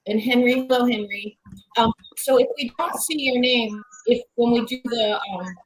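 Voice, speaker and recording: A woman's voice: fairly high pitch, fairly even intonation, normal speed, low-quality recording. A woman co-hosting a horror livestream, chatting casually with a guest and greeting the viewers.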